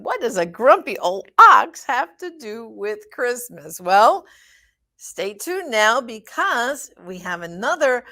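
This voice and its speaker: A woman's voice: mid-range voice, gravelly, bright, forceful tone. A woman presenter introducing a story reading to her audience.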